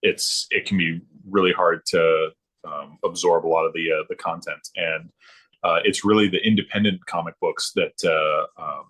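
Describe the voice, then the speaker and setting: A middle-aged man chatting casually about his hobby as a podcast guest, haltingly, often circling back and repeating himself. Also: low voice, fairly even intonation, fairly bright tone, slightly rough. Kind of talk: casual chat about comic books.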